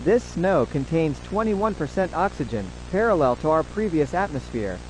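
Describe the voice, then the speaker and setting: A man playing a formal, solemn government announcer, reading a scripted emergency broadcast in a measured way. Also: on the high side, sing-song, a little hiss or hum, poor audio. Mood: grave, resigned.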